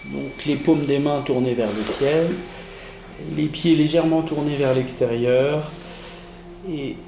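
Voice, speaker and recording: A man leading a guided relaxation as a yoga teacher, giving soothing, measured instructions with pauses. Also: medium pitch, warm tone, slight background noise. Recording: roomy echo with music behind. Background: relaxation music.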